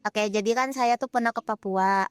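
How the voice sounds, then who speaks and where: A woman's voice: mid-range voice, normal speed, clean tone, dry recording, clean studio sound. A young woman, a student explaining her experiences in an earnest, casual way. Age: young adult. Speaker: student interviewee. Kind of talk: casual group interview.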